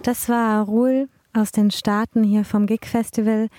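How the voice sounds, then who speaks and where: A woman's voice: fairly high pitch, level tone, a little slow, warm tone, dry recording, clean studio sound. A woman presenting a radio programme, introducing segments to listeners.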